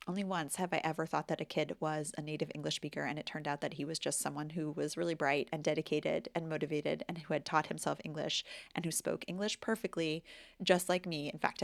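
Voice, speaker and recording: A woman in her forties with an American accent speaks in a chatty, candid vlog voice, pitched a little low and with a gravelly edge. She comes across as a language teacher musing on her own learning with self-deprecating humor. The delivery stays relaxed and conversational. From United States. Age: 40s. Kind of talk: casual reflective vlog talk.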